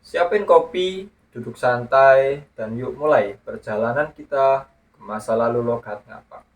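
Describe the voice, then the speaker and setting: A man's voice: medium pitch, measured pace. A man hosting a podcast, narrating a script in a chatty way that speaks straight to his listeners. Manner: chatty, addresses listeners directly. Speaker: podcast host. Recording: distant mic with music underneath. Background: guitar music.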